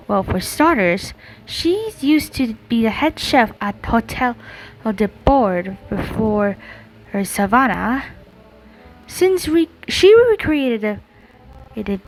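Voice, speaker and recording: A woman streaming a game, reading dialogue aloud and commenting casually in a halting way. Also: on the high side, a little hiss or hum, rough recording. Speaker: gameplay streamer.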